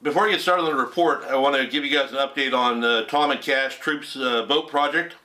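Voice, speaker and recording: A man's voice: medium pitch, brisk, bright, forceful tone. A man hosting a casual weekly fishing report, rambling with many fillers, just getting over a cold. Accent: Southern Californian. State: recovering from a cold.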